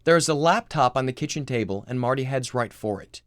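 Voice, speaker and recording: Male screenplay reader, medium pitch, animated narration, dry studio recording. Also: fairly quick, dry recording. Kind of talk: scripted narration.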